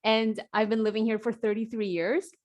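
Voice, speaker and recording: Upbeat woman coach, fairly high voice. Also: moderate pace. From South Korea, raised in New Jersey. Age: 40s. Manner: energetic, conversational, frequent fillers and likes. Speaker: executive coach, host. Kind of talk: hosting an online group coaching call.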